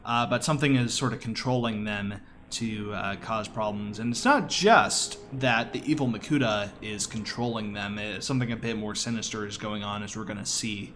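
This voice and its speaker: A man's voice: on the low side, moderate pace, few pauses, fairly bright tone, a little hiss or hum. A man giving casual live commentary as a speedrunner, explaining informally with wry asides.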